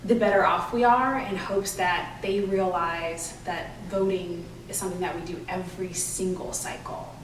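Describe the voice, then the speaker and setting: A woman's voice: on the low side, fairly bright tone, distant mic. A woman speaking as a children's book author in an interview, earnestly explaining and promoting her work. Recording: roomy echo, off-mic, faint noise.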